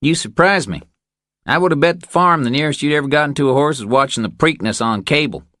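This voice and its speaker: A man's voice: on the high side, animated, fast, sharp tone, no room sound, studio quality, voice pushed up. A man voicing a cocky, bossy young male rancher who needles and sneers in an audiobook. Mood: needling.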